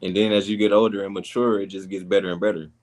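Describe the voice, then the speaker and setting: A man's voice: deep voice, flat delivery, fast talker. An American man speaking informally as a panelist in a casual discussion.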